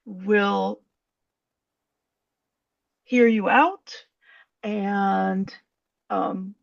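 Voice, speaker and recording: A woman coach from Puerto Rico who speaks slowly and gently, with a gravelly edge to her voice. She sounds thoughtful and unhurried. The recording is dry and slightly dull. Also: medium pitch, steady tone, no room sound. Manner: slow, gentle, thoughtful with fillers. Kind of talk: casual chat.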